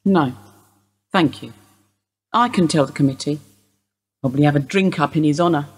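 Woman playing bereaved mother, husky, composed, understated, weary, radio drama. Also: sits low, moderate pace. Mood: resigned. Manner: calm, understated, dry. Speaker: actor in a radio play. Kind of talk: naturalistic radio drama acting.

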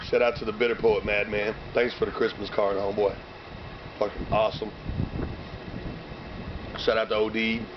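A man in his thirties with a hoarse voice, rambling through casual slang-heavy shout-outs outdoors on a cold day, recorded by a camera a few meters away. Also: on the low side, level tone, normal speed, pauses often. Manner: loose slang-heavy shout-outs, drinking between lines. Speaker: vlogger. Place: outdoors by bare trees and fields on a cold sunny day. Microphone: camera mic from a few meters away. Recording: dull lo-fi camera audio with outdoor noise.

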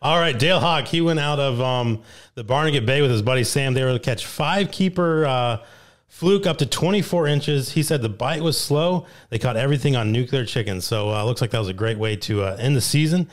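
A young man with a New Jersey accent hosting a weekly fishing report, reading catches from notes at a boom mic in a small studio. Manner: upbeat, reads names and catches in quick lists. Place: small studio with brick wall, indoors. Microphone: boom mic on arm, headphones.

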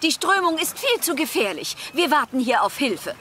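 A woman's voice: sits high, very animated, normal speed, sharp tone, faint music behind, full character voice. A woman voicing a sensible but anxious bus passenger in a cartoon dub. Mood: firm. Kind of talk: German cartoon dubbing.

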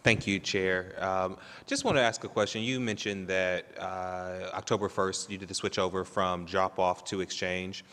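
A man's voice: deep voice, a bit bright, clear, resonant tone. A man serving as council president, polite and procedural, reading ordinance text aloud into a desk microphone. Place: large city council chamber. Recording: long reverb of a large chamber.

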